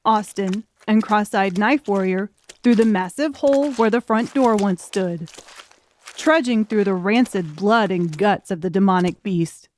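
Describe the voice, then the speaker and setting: A woman's voice: mid-range voice, a little slow, darkish tone, slight background noise. A woman reading scripted, dramatic audiobook narration.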